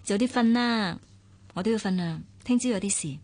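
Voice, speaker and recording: A woman with a Hong Kong Cantonese accent acting a caring, perceptive family confidante in a radio drama, probing and gently admonishing. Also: medium pitch, normal speed, close to an everyday voice.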